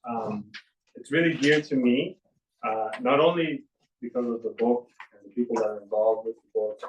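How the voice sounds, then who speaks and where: A man who owns a bookstore, opening a reading event with an earnest, halting welcome full of fillers and thanks. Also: recorded from a distance, lo-fi sound. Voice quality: deep voice. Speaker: bookstore owner hosting a reading. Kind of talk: casual event welcome speech. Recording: echoey room, voice from afar, faint noise.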